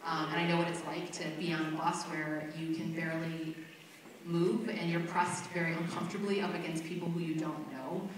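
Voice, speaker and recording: A woman's voice: sits low, flat delivery, moderate pace. A woman speaking informally as a resident asking questions at a public meeting. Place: large indoor public meeting room. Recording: very echoey hall, distant mic, clear noise.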